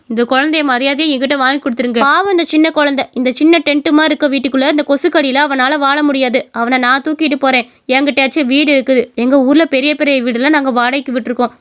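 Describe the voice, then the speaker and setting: A woman's voice: high-pitched, fairly bright tone. A woman playing a selfish, quarrelsome rich young woman in a cartoon story, brusque and assertive.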